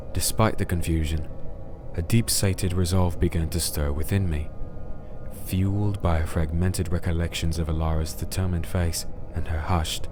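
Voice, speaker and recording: A man acts out a brooding, wounded crusader telling his own tale in a very low voice. The delivery is solemn, literary and deliberate, as in a dark fantasy audio drama, with music clearly playing underneath. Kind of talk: scripted first-person dark fantasy audio drama narration.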